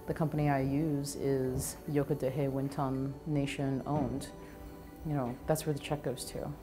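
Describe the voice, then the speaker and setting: Low-pitched woman chef, earnest and thoughtful, little restarts, faint music under her. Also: darkish tone. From Venezuela. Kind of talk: reflective documentary interview. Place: home kitchen and living room, indoors.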